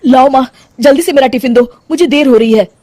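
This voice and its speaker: A flustered young husband from a cartoon moral tale, acted by a woman. The voice is fairly high and theatrical, harried and submissive. It is recorded close and dry. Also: quick pace, mellow, dry recording. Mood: hurried. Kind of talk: Hindi cartoon moral story acting.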